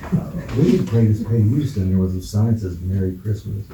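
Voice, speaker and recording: A man's voice: lowish voice, lively intonation, brisk, distant mic. An American man discussing business informally at a council meeting in an indoor chamber. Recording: echoey room, faint background noise.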